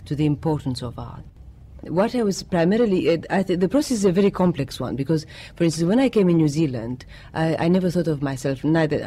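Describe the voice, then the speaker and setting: A man's voice: medium pitch, dark tone, a little hiss or hum. A middle-aged man from Romania, speaking English as a later language in a reflective interview, often searching for words. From Romania, of Greek parents. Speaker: poet and writer interviewee.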